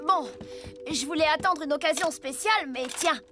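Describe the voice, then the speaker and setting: A woman voicing a young boy in a cartoon dub, lively and sometimes scolding. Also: high voice, normal speed, close to an everyday voice. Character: young boy, friendly but bossy. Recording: music loud behind voice.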